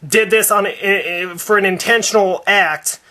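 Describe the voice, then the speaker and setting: A man acts out an indignant, devout father in a prank phone complaint. His voice sits high with a bright, sharp edge. He stammers, stalls and circles back over his grievances. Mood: flustered, suspicious. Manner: halting, stammering, repetitive. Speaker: prank caller.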